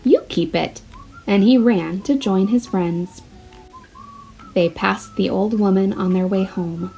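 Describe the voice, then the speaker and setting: A woman's voice: medium pitch, takes it slowly, mellow, poor audio. A woman, a first grade teacher, reading a picture book expressively and putting questions directly to young children.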